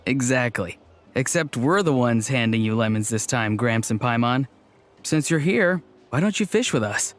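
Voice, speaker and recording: A man's voice: mid-range voice, lively intonation, moderate pace, lightly acted. A man playing an earnest, committed young adventurer in a video game, matter-of-fact and sincere. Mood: playful. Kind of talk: animated video game acting. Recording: faint game music under the voice.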